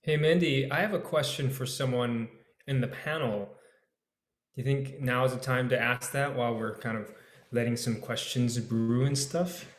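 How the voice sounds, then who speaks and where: A man's voice: medium pitch, steady tone, normal speed. A man asking questions casually as a panel participant, curious and informal, rewording as he goes. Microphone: video call. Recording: roomy echo on a video call.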